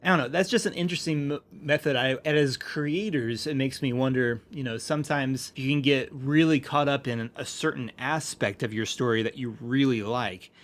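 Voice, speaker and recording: A man co-hosting a podcast, discussing films and storytelling casually in a halting, rambling way. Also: mid-range voice. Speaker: podcast co-host.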